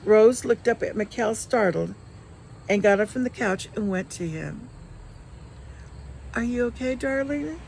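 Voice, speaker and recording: A woman reading her own novel aloud as a storyteller, with expressive, dramatic narration. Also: mid-range voice, normal speed, vocal fry, slight background noise, poor audio. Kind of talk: expressive audiobook-style narration.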